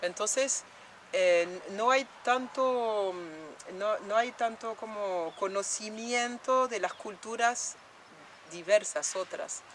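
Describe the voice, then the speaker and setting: A woman's voice: mid-range voice, slow, a bit bright, a little hiss or hum. A woman from France in an interview, speaking reflectively with many fillers and restarts. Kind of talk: documentary interview.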